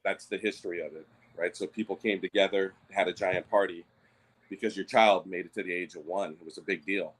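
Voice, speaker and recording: A man's voice: low voice, fairly even intonation, fairly quick, a bit bright, husky, low-quality recording. A Native Hawaiian man talking things through on a podcast, hesitant, with many fillers and restarts. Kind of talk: casual discussion.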